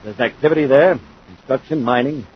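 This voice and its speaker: An actor playing a cunning, curt criminal mastermind in a 1950s radio serial. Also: male voice, mid-range voice, fairly quick, slightly rough, natural voice. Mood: menacing. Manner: curt, domineering. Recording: noisy, dull 1950s radio recording.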